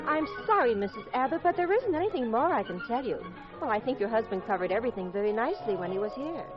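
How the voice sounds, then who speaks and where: An actress playing a smooth, catty, flirtatious widow in a 1950s radio mystery. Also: female voice, a little high, lively intonation, fast talker, natural voice. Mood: coolly catty. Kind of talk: 1950s radio drama acting. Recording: muffled vintage radio, loud music behind.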